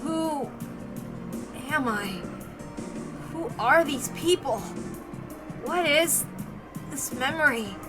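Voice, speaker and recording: A woman voicing a troubled amnesiac in halting, broken phrases, in pain and confused by foreign memories. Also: sits high, expressive, music in the background, pitched above the performer's own voice, heavily acted. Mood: anguished, confused. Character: troubled amnesiac Toya, anguished by splitting headache and foreign memories.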